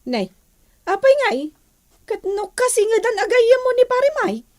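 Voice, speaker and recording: A woman with an Ilocano accent acting a concerned, gently chiding wife in a radio drama. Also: very high voice, big pitch swings, normal speed.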